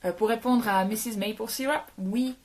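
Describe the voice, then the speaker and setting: A woman's voice: a little high, normal speed. A woman from France giving a casual French lesson on a phone live stream. She chats, greets viewers, answers their comments and slips English words into her French. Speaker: French teacher. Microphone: phone held for a live stream.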